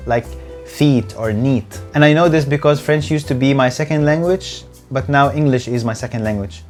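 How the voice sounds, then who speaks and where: Man, English, earnest tutorial host, slightly stiff delivery, soft background music. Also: mid-range voice, moderate pace. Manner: earnest, a bit stiff on camera. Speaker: channel host. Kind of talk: scripted explainer tutorial.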